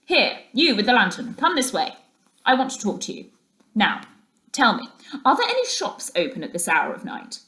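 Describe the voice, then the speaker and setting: A hearty storybook rat, put on by a woman reader, bossy and capable. He gives orders briskly and is particular about what he wants. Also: on the high side, very animated, a bit bright, low-quality recording. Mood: masterful. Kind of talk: children's storybook character voice.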